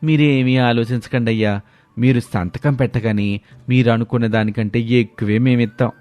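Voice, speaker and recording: A man's voice: medium pitch, steady tone, normal speed, darkish tone, no echo, soft background music, natural voice. Man voicing deferential townsman, persuasive, cartoon moral story, close dry recording. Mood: polite, coaxing.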